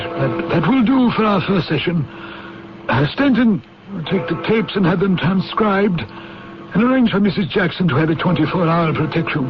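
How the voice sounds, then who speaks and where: An actor playing a senior British intelligence chief in a 1970s radio drama, formal, deliberate and authoritative. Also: male voice, a little high, lightly acted. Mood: courteous, solicitous. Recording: dull, hissy 1970s radio recording.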